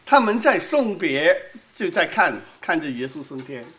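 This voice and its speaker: A woman's voice: low-pitched, very animated, normal speed, fairly bright tone. A woman from Hong Kong with a Cantonese accent, preaching in a conversational way and putting questions to her audience. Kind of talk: sermon with live interpretation.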